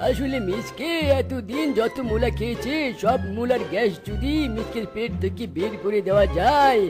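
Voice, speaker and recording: Woman playing comic cartoon doctor, male animal, sly manner, high-pitched, music behind. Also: moderate pace, close to an everyday voice. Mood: knowing explanation. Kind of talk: exaggerated Bengali cartoon voice acting.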